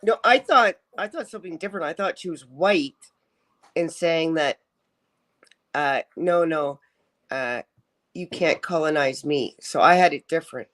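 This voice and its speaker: A man's voice: on the high side, measured pace, a bit bright. A male co-host chats casually and animatedly, acting out what people said. He sits back from his microphone, so he sounds a little distant. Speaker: podcast co-host. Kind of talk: casual chat.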